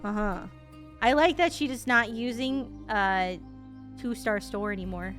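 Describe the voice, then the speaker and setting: A woman streamer reacting to a game in rambling, animated, sweary casual chat. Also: a little high, a little slow, over music.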